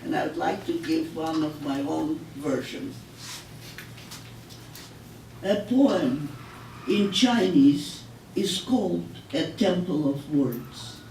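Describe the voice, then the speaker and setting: An older woman reading her poetry aloud in a measured, solemn way with deliberate pauses. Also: low voice, gravelly, a little mellow. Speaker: poet. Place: public library room, live reading. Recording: echoey room, distant mic, noisy.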